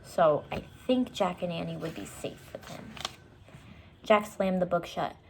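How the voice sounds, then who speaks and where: A woman's voice: fairly low pitch, unhurried, vocal fry, a little hiss or hum. A woman storyteller narrating a children's book, with short explanatory asides for young listeners.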